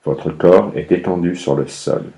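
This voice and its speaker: A man with an extremely low, dark voice leads a guided yoga nidra session. He gives calm, measured instructions at a very slow pace, leaving long pauses between phrases. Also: vocal fry.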